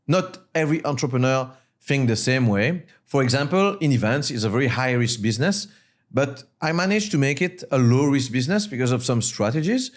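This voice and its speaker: A man from Mauritius speaking as an interview guest, an entrepreneur explaining his views in short, emphatic, repeated phrases. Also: medium pitch. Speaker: guest, startup founder and CEO.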